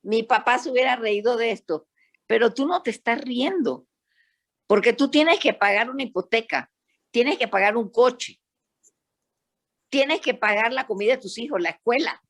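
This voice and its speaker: An older woman from Panama with a Panamanian accent, talking as a psychologist guest in a panel discussion and telling stories in an animated, emphatic way. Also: on the high side, normal speed. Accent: Panamanian Spanish.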